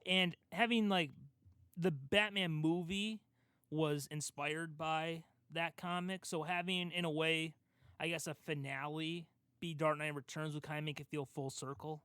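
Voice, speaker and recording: Man with higher-pitched voice, casual podcast talk. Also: fairly even intonation, a little slow, sharp tone, clear, resonant tone, dry recording, studio quality. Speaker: podcast co-host. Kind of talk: casual podcast discussion of comic book films.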